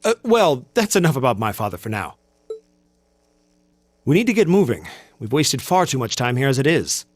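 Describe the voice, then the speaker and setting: Proud, excitable young student. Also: male voice, mid-range voice, normal speed, pauses often, dry recording. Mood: exasperated. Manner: earnest, defensive, precise. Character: indignant, proud young male commoner student. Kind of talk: video game voice acting.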